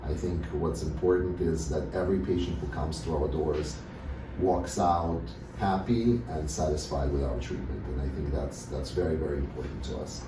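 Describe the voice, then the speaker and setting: Very deep-voiced man from Russia, hesitant. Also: normal speed. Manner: hesitant, many fillers and restarts. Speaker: dentist, interview guest. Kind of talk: casual interview answers. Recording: echoey room, mic at a distance, background noise.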